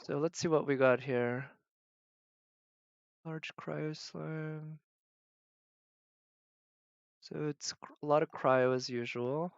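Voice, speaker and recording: A woman giving casual livestream game commentary, thinking aloud in halting fragments as she plays. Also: sits low, fairly even intonation, vocal fry, no room sound, highs cut off. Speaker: game streamer.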